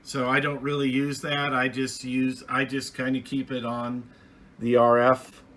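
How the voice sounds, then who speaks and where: Slow-talking man with a bright voice. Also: mid-range voice, monotone. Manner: unscripted, halting with restarts and trailing phrases. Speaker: radio hobbyist reviewer. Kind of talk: casual hands-on product review.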